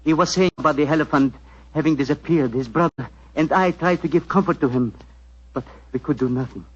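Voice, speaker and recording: On a muffled vintage radio recording, an Indian palace physician with a husky, rough-edged voice. He speaks formally and solemnly, with proud dignity, in an old radio-drama manner. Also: on the high side, a little fast. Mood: sorrowful. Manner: formal, stately, stilted phrasing. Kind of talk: 1940s radio acting. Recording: dull lo-fi 1940s radio recording.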